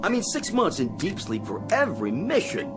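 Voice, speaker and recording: A man's voice: high-pitched, huge pitch swings, moderate pace, music in the background. A man playing a vain, wisecracking spaceship navigator with a whiny manner in a radio drama. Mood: griping. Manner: whiny, wisecracking, swooping emphasis. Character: griping, vain male spaceship navigator, eager for recognition.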